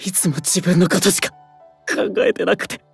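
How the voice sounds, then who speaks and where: Guilt-ridden young man played by a man, crying, very husky and creaky, slow voice drama delivery over music. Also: high-pitched, steady tone, dry recording, full character voice. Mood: tearful remorse. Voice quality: breathy, broken voice. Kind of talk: Japanese voice drama acting.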